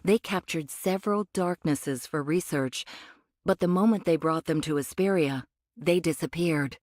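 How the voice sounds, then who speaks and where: An actress playing a condescending cyborg angel of a rebel faction in an anime game, speaking in a measured, cryptic way. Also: female voice, low-pitched, a little mellow, no echo, studio quality, lightly acted. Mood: cool, provoking. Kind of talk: anime game voice acting, expository dialogue.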